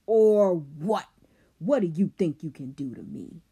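A woman voicing a furious teenage boy who bullies with a bat, shouting threats. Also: fairly low pitch, lively intonation, slow, slightly rough, heavily acted. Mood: enraged.

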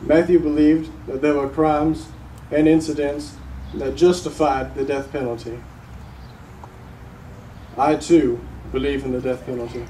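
Student guy playing a grieving dad speaking in court, solemn n halting, mellow, noisy far-off recording. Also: fairly high pitch, level tone, echoey room, lightly acted. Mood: firm resolve. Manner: slow, solemn, halting with heavy pauses. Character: grieving middle-aged father addressing a court, solemn, resolute. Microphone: distant camera microphone.

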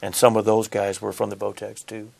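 Gravelly earnest union man. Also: on the low side, monotone, a little fast, darkish tone. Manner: earnest, deferential, says "yes, sir". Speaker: union apprenticeship coordinator. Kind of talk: studio interview. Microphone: lapel mic.

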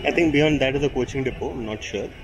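A man's voice: medium pitch, fast talker, highs cut off, music in the background. A man giving casual, chatty commentary about the trains he passes while riding in a train, filmed on a handheld camera. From India. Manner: chatty, pointing things out with fillers. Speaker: train travel vlogger. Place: on a moving train by an open door. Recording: train noise behind.

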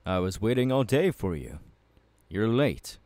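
A man acting out a confident anime warrior mentor in an audio roleplay. The voice is fairly low and very animated, unhurried and full of dramatic pauses as he instructs a newcomer. It is recorded dry and close. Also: no room sound. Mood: welcoming, instructive. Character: confident, fiery swordsman mentor, male anime demon slayer. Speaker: voice actor. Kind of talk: ASMR audio roleplay, addressing the listener.

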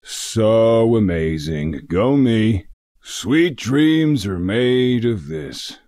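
A man voicing a grumpy, sarcastic unicorn candy-shop worker in a video game, with a deadpan, theatrical manner. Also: lowish voice, very slow, dry recording, studio quality, full character voice. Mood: glum, sarcastic. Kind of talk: video game character voice lines.

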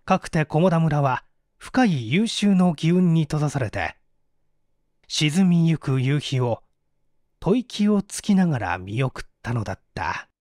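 A man narrating a detective story as an audiobook, with theatrical, deliberate phrasing. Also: fairly high pitch, sing-song, darkish tone, no room sound, clean studio sound. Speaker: audiobook narrator, stage actor.

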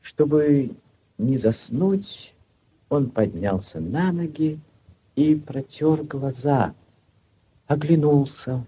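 A man narrating a literary tale for 1940s radio in a measured, expressive, theatrical manner. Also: on the high side, a little hiss or hum. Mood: lyrical, dreamy. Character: solemn, lyrical storyteller narrator. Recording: dull 1940s radio recording, loud music behind.

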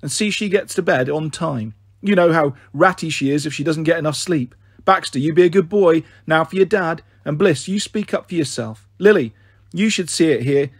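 A man's voice: on the high side, husky, no echo, studio quality. A man reading a storybook, voicing a breezy, careless working-class mum with a British accent. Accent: British English. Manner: breezy, chirpy, airy and careless. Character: chatty cheerful working-class mum on a payphone.

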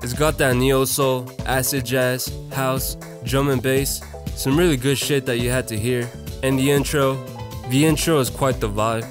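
A man's voice: medium pitch, steady tone, unhurried, over music. A young man narrating a casual, slangy video essay in an irreverent, conversational, profane way.

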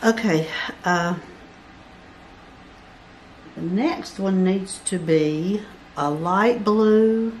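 A woman's voice: medium pitch, extremely slow, long pauses, a little hiss or hum. A woman giving a step-by-step barn quilt pattern tutorial at a table, patiently counting blocks aloud. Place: at a table over a paper pattern, indoors.

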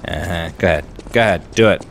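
A man's voice: low voice, fast, creaky, a bit bright, slight background noise. A man giving casual let's play commentary, muttering half to himself and half to the game. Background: video game sound.

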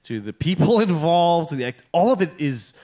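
Male comedy podcast co-host, young, high-ish and very animated, goofy banter. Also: moderate pace. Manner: animated, mock-posh bits, lots of laughing. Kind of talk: late-night comedic banter.

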